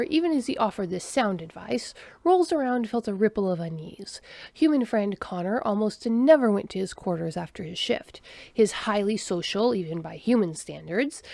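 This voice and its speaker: A woman narrating a story in an expressive voice that swings widely in pitch. Her voice has a gravelly texture, and the recording is dry and close. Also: moderate pace, no echo. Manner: expressive storyteller voicing every character. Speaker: audiobook narrator.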